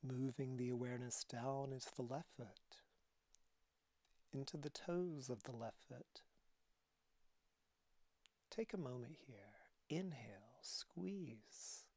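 Male meditation guide, mid-pitched, unhurried and calm, hushed breathy delivery with long pauses, dry intimate sound. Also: no room sound. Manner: calm, soothing instruction. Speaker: yoga meditation teacher.

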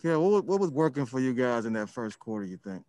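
Halting male reporter, dry sound. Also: medium pitch, very animated, a little mellow, no echo. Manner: halting, restarts his questions. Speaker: sports reporter.

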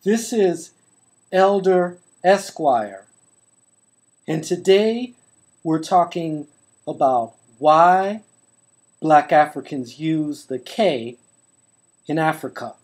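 A man hosting his own online channel, reading a book passage aloud to his webcam in a measured, deliberate way at home. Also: fairly high pitch, lively intonation, darkish tone. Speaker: YouTube host reading from a book. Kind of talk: slow, deliberate read-aloud to camera. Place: indoors at home, holding a book to the camera.

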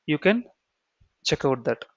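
A man with an Indian accent giving an explanatory software tutorial, repeating key phrases and checking in with "okay" and "right". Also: fairly high pitch, very animated, a little slow, no room sound. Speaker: software trainer. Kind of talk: explanatory screen-share tutorial. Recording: dull, muffled lo-fi sound.

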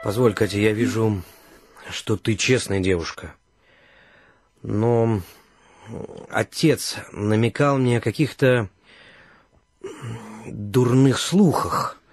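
An actor playing an earnest, generous gentleman in a radio play, with a husky voice. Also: on the low side, takes it slowly, long pauses, no echo, clean studio sound, soft background music. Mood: gentle, concerned. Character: earnest, generous, well-off returned brother, middle-aged gentleman.

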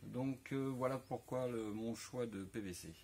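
A man's voice: medium pitch, unhurried, a little mellow. A man in his forties with a French accent casually explaining a camper van rebuild in a large workshop, talking through a lapel mic with many hesitations and restarts. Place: large workshop garage, indoors.

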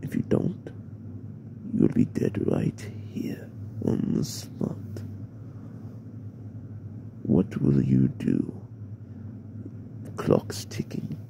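A man playing a menacing, possessive mafia boss in an ASMR roleplay, in a breathy, half-whispered murmur with slow, deliberate pauses. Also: heavily acted. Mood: threatening. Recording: noisy, with steady background rumble.

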